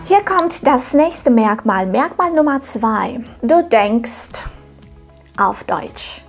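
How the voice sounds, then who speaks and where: A woman's voice: a little high, big pitch swings, slow, soft background music. A woman in her thirties, not a native speaker, teaching a scripted video lesson to camera from a home studio in an upbeat, encouraging way. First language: not German. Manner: upbeat, encouraging, addresses viewer directly. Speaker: German teacher, channel host.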